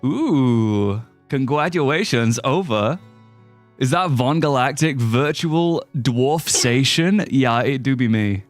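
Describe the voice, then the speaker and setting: Goofy young streamer man, bouncy pitch. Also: expressive, slow, faint music behind. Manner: goofy, playful, silly mispronunciations and shifting voices. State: slightly sniffly, stuffy-nosed. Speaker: livestreamer. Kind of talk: casual stream chat, greeting chat and playing a game.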